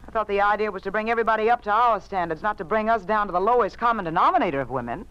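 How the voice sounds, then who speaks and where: An actress playing an indignant, outspoken women's-page editor in a 1950s radio drama, emphatic and articulate in protest. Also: female voice, mid-range voice, expressive, very fast, smooth, natural voice. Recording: muffled old radio recording.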